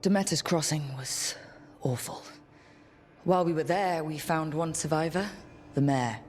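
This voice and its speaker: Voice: mid-range voice, measured pace, darkish tone, close to an everyday voice. An actor playing a young fantasy team leader in a video game, earnest, frank and a little unsure. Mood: troubled. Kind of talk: video game dialogue acting. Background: background music.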